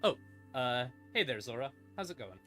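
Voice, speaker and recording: A man with a bright, forceful voice talks like a casual streamer walking viewers through lore. He is informal and emphatic, with swearing mixed in. His pitch sits in an ordinary middle range, and ambient music plays behind him. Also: very animated, normal speed. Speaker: live streamer, host. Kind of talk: casual lore explainer on a livestream. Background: creepy ambient music.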